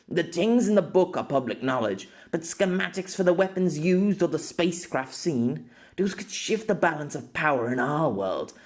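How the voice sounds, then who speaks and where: A man's voice: on the high side, darkish tone, voice pushed up. A man voicing a stern, authoritative female doctor with a formal, impatient manner in a story.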